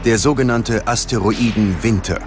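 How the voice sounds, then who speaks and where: A man narrating a scripted documentary in a dramatic, ominous and measured way. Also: lowish voice. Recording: music under the voice. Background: prominent background music.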